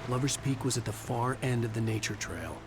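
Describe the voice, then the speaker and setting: An actor playing a troubled male writer who narrates his own dark thriller in a grave, deliberate manner. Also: mid-range voice, animated, over loud music, natural voice. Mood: grave, brooding. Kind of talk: scripted first-person narration, noir thriller. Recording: noisy mix with game ambience.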